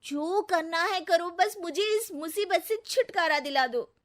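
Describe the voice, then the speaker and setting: A woman voicing a weary, put-upon young daughter-in-law in a cartoon, complaining and expressive. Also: extremely high pitch, measured pace. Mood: exasperated. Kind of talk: cartoon comedy acting.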